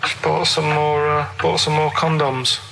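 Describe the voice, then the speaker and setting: A man's voice: on the high side, flat delivery, measured pace, creaky, noisy background. A man chatting casually as a radio co-host, downbeat, grumpy and matter-of-fact.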